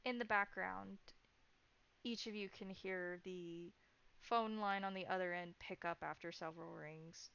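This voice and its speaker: A woman's voice: lowish voice, fairly even intonation, measured pace, gravelly, a bit bright, clear, resonant tone, lo-fi sound. A woman running a tabletop game, improvising narration and explaining scenes in descriptive detail. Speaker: game master.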